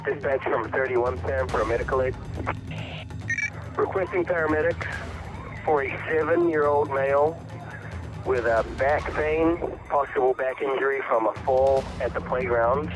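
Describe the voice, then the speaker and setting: A man's voice: medium pitch, moderate pace, music in the background, low-quality recording. A man, a lifeguard, calling dispatch in clipped radio protocol.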